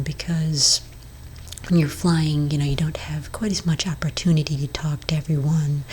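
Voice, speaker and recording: Whispering woman, gentle ASMR therapist. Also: sits low, a little hiss or hum, rough recording. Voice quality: breathy, whispery. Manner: gentle, hesitant, halting counsel. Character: gentle female therapist for witches. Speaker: ASMR roleplayer playing a therapist. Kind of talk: soft-spoken ASMR roleplay.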